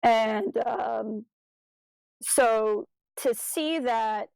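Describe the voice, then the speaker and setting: A woman's voice: fairly high pitch, darkish tone, no room sound, low-quality recording. A woman co-hosting a podcast, chatting casually in an enthusiastic, analytical way about stories. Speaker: podcast co-host. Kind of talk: casual discussion of a fan audio drama.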